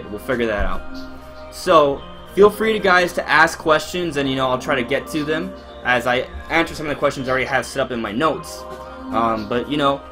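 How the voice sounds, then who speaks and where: A young man in his twenties from Miami chats loosely on a live stream, doubling back on his words and talking straight to his viewers. His voice sits in an ordinary middle pitch. Faint music plays softly under him. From Miami, Florida, USA. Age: 20s. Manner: rambling and chatty, many fillers and restarts, addresses chat directly. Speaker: YouTuber hosting a live Q&A stream. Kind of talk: casual live-stream chat.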